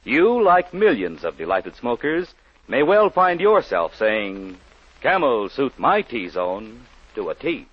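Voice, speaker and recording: Male commercial announcer, vintage radio sell, animated pitch, rough-edged voice, dulled period sound. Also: slow, a little hiss or hum. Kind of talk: 1940s radio advertisement read. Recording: muffled 1940s radio recording.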